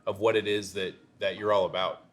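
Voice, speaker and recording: A man's voice: on the low side, little pitch movement, brisk. A man hosting an interview, casual and chatty, mostly giving short affirming replies. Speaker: interviewer, host. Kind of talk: casual chat.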